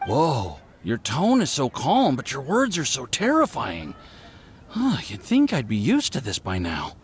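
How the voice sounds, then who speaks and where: A man's voice: medium pitch, wildly swooping pitch, measured pace, slight background noise. A man playing a nervous, insecure young alchemist in a video game, dramatic and prone to stammering. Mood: amused unease. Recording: faint music behind the voice.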